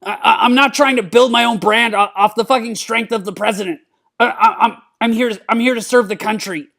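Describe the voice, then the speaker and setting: A man voicing a belligerent, drunken old mad scientist in a cartoon-style comedic reading, gravelly and stammering, repeating himself and cursing. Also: sits high, fairly even intonation, fairly quick, sharp tone, full character voice. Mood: defiant. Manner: stammering, repeating words, profane.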